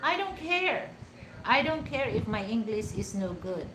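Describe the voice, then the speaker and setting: A woman's voice: fairly high pitch, big pitch swings, measured pace, echoey room, noisy background. A woman from the Philippines with a Filipino accent, rambling in a casual vlog with many fillers and restarts. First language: Hiligaynon. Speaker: vlogger. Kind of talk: casual talk to camera.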